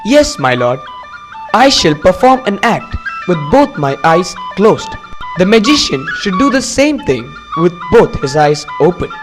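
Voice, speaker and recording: A woman voicing a clever male courtier who speaks calmly and politely. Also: lowish voice, a little slow. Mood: confident. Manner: calm, polite, plainly spoken. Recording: music under the voice.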